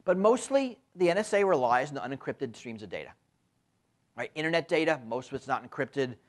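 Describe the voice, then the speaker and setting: A man in his fifties giving a university lecture, speaking emphatically and often tagging his points with a quick question, recorded on a lapel mic in a lecture room. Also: fairly high pitch. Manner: frequent tag questions, lively emphatic delivery. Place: lecture room with window blinds.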